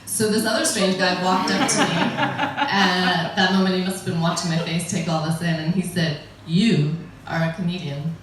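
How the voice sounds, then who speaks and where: A woman's voice: on the low side, normal speed, few pauses, noisy background. A middle-aged American woman doing stand-up comedy, chatty and wry, laughing at her own jokes. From United States. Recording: echoey room, voice from a distance.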